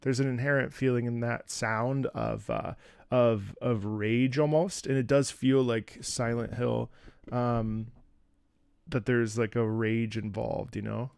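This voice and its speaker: A man's voice: medium pitch, fairly even intonation, slow, creaky, a little mellow, smooth, no room sound, studio quality. A man giving casual, musing, analytical commentary as a streamer reacting to video game scenes.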